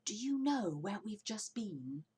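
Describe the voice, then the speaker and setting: A woman's voice: mid-range voice, sing-song, a little slow, warm tone, slightly rough, voice pushed up, exaggerated voice. A woman voicing a sly, confident father fox who speaks with gallant courtesy in a children's storybook. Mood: secretive, triumphant. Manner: assured, gallant.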